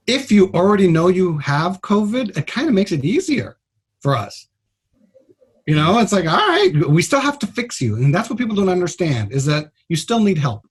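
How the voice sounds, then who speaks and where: Husky higher-voiced man, blunt doctor. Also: very animated, moderate pace. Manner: plain-spoken, blunt, informal. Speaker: trauma surgeon, guest doctor. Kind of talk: explanatory radio interview.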